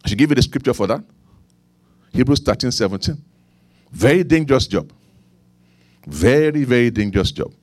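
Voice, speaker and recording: Nigerian-accented pastor preaching, dry sound. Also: male voice, medium pitch, expressive, moderate pace, long pauses, no room sound. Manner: preaching with repetition and asides, swinging from emphatic to quiet. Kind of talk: sermon.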